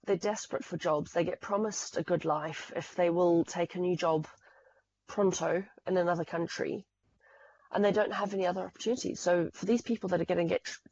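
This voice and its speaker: A woman's voice: lowish voice, a little mellow, highs cut off. A woman from Zimbabwe with a Zimbabwean accent, a podcast guest explaining things in an earnest, fact-heavy way with frequent restarts. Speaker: podcast guest, fraud specialist. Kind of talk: explanatory interview talk.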